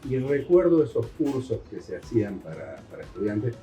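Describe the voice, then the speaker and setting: A man's voice: mid-range voice, big pitch swings, normal speed, dark tone, roomy sound, soft background music. A man with an Argentine accent hosting an interview, asking questions in a friendly, informal way. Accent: Rioplatense Argentine Spanish. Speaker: host interviewer.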